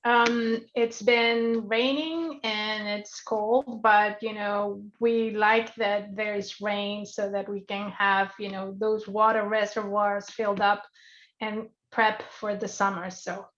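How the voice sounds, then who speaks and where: A woman's voice: mid-range voice, little pitch movement, slow pace, fairly bright tone. A woman speaking casually as a guest presenter on an online webinar, greeting listeners and making small talk. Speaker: webinar guest speaker, senior project manager. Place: online call. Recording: slightly dull video-call audio.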